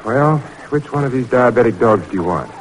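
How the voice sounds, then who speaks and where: An actor playing an earnest, determined young doctor in an old-time radio drama, sincere and plain-spoken. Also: male voice, mid-range voice, animated, brisk, lightly acted. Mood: hopeful, tense. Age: young adult. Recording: vintage radio recording with hiss.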